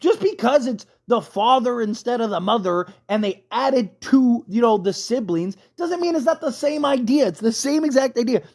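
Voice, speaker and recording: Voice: medium pitch, normal speed, hardly any pauses, a little mellow, dry recording, clean studio sound. A video host delivering a casual, opinionated rant in a conversational, emphatic way, often checking in with rhetorical questions. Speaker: YouTube host giving film commentary.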